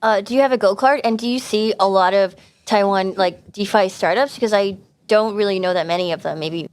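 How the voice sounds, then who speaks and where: Informal woman moderator, mid-pitched. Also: a little fast. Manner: informal, jumps in with asides. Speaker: panel moderator. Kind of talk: casual chat, asking questions.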